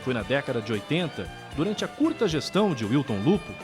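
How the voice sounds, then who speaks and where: A man's voice: medium pitch, very animated, a little fast, over music. A man with a Brazilian accent reading scripted documentary narration as the narrator.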